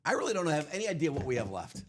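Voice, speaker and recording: A man hosting a live card break stream, chatting loosely with many fillers, his voice going hoarse. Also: fairly high pitch, very animated, very fast, background noise. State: losing his voice. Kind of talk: casual live stream chat.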